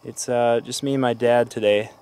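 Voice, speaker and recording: Relaxed man vlogging outdoors by a lake. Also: medium pitch, moderate pace, smooth. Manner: relaxed, chatty, talking to camera. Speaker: fishing vlogger. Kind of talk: casual vlog narration. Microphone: action camera held at arm's length.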